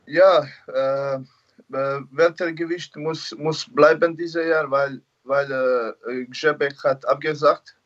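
A man who speaks German as a second language, answering interview questions haltingly and searching for words. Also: a little high, fairly even intonation, muffled recording. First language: not German. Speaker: interview guest, fighter.

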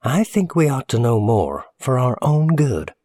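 A man's voice: mid-range voice, big pitch swings, a little slow, no echo, clean studio sound, voice pushed up. Man voicing a nosy, suspicious small-town woman. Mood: suspicious, prying. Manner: hushed gossipy whispering, folksy grammar.

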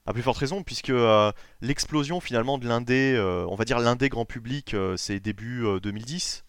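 A man's voice: mid-range voice, a little fast, smooth, no room sound, clean studio sound. A man from France hosting a casual comics podcast, talking loosely with many hesitations and the odd swear word. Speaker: podcast host.

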